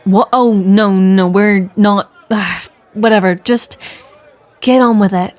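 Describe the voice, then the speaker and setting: A woman's voice: medium pitch, steady tone, slow pace, a little mellow, lightly acted. A woman playing a proud, skeptical female pirate captain in an audio roleplay, brusque, grumbling and dismissive. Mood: flustered, impatient. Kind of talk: character audio roleplay acting.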